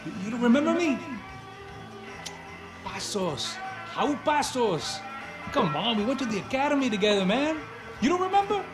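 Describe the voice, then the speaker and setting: A streetwise ex-cop bodyguard with a high, swooping voice and a brash, chummy, persuasive manner. It is video game cutscene acting with music behind it. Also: male voice, very animated, moderate pace, pauses often. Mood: chummy, excited. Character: streetwise, affable male ex-cop turned private bodyguard.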